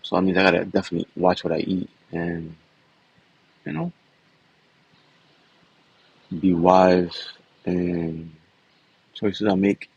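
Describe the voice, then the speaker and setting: A man's voice: sits low, vocal fry, a little mellow, slightly rough, no echo, highs cut off. A man, talking in a loose, casual way and often tagging his sentences with "man" and "you know.". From New York City. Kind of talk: casual personal vlog talk.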